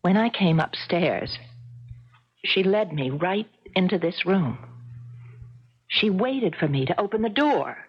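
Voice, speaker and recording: A woman's voice: lowish voice, animated, slow, pauses often, breathy edge, full character voice. An actress playing an insistent, cat-crazy woman in a 1970s radio drama, with a theatrical manner. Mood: quietly urgent. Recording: muffled, noisy 1970s radio broadcast.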